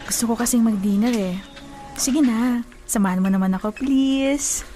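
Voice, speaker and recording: Actress as a flirty Filipina gym trainer, music behind. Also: female voice, on the high side, moderate pace, mellow, natural voice. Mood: flirty, coaxing. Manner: coquettish, teasing. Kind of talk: Filipino radio drama acting.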